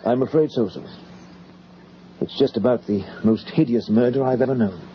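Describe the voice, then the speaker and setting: An actor playing a grave, courteous English police inspector in a radio horror drama, measured and formal, on an old recording. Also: male voice, medium pitch, muffled recording, slight background noise, natural voice. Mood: somber.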